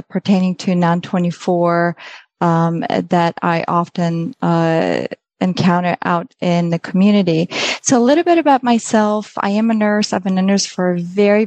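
A middle-aged woman from Poland with a Polish accent, a native Polish speaker, giving an informal educational presentation in a hesitant, halting way. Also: lowish voice, a little mellow, no echo.

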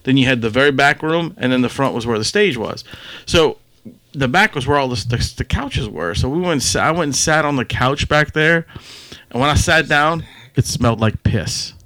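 A man in his forties in casual podcast chat, blunt, opinionated and quick to swear. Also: medium pitch, lively intonation, sharp tone, dry recording. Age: 40s. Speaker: podcast co-host.

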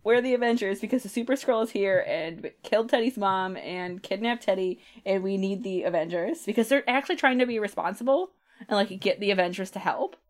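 A woman's voice: medium pitch, a little fast. A woman co-hosting a podcast, talking in an informal, conversational way as she recaps a comic book. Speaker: podcast co-host. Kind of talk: casual chat, recapping comic books.

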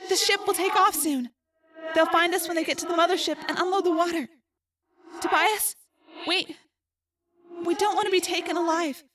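A woman voicing a brave young girl who is trapped, hushed, urgent and grimly resigned, in a story. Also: very high-pitched, fairly even intonation, normal speed, recorded from a distance, noisy background, voice pushed up. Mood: urgent, pleading.

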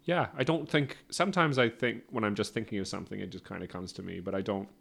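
A comedian being interviewed in a casual podcast chat, rambling and hedging with many restarts. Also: male voice, fairly low pitch, quick pace, creaky, fairly bright tone, slightly rough. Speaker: comedian guest.

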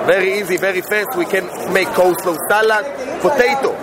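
A man's voice: sits high, fairly quick. A man with an Israeli accent, speaking broken English as he eagerly demonstrates his own kitchen peeler at a trade show. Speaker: product inventor at trade show. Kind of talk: casual interview. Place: busy trade show floor. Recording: crowd and noise of busy expo.

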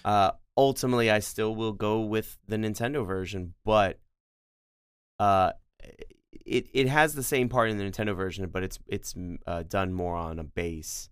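A man co-hosting a casual music discussion, haltingly feeling out his descriptions and sounding out rhythms. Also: fairly low pitch, smooth, dry recording, studio quality.

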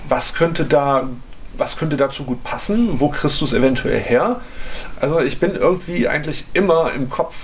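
A man's voice: on the high side, lively intonation, moderate pace, a little hiss or hum. A man speaking casually in an interview, colloquial and thinking aloud in fragments as he explains. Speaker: radio maker and volunteer audio technician.

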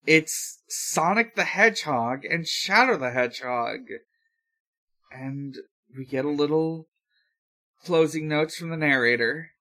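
A man hosting a podcast, chatting casually through a recap with a halting, hesitant delivery and frequent pauses. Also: fairly high pitch, sharp tone. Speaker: podcast host. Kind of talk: casual recap talk with co-hosts.

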